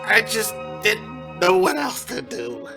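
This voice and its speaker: A man playing a hapless, earnest, apologetic husband in a comic noir radio drama, halting and stammering as he speaks. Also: sits high, wildly swooping pitch, creaky, full character voice. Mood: remorseful. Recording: music behind.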